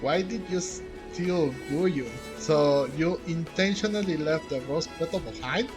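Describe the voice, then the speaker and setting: A man's voice: fairly high pitch, measured pace. A man doing casual let's play commentary as a video game streamer, reading some dialogue aloud. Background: game music.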